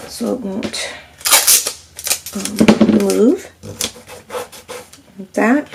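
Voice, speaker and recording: Gravelly stuffy-nosed lady w a lilt doing a craft tutorial, keeps going "right?". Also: mid-range voice, very noisy. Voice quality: slightly nasal and stuffy. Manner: chatty, narrates each step, keeps asking "right?". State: scratchy throat from allergies. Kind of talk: casual step-by-step crafting demo.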